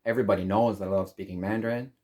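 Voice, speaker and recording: A man whose first language is English, hosting his own channel and speaking calmly and deliberately in measured phrases. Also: lowish voice. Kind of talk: slow reflective monologue.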